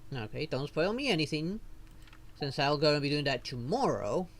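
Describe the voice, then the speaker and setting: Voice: medium pitch, very animated, moderate pace. A streamer giving casual live gameplay commentary, rambling and thinking aloud.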